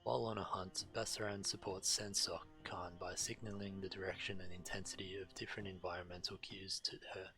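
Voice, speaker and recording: Deep, bright-voiced man reading aloud. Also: flat delivery, brisk, few pauses, faint music behind, rough recording. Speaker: game streamer reading quest text aloud. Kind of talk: reading game dialogue aloud on stream.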